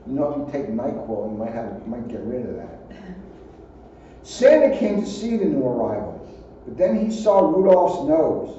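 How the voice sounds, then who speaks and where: A man's voice: mid-range voice, very animated, moderate pace, mellow. A man reading a children's storybook aloud, adding his own offhand asides. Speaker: police officer reading aloud. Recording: muffled, very echoey, distant, faint noise.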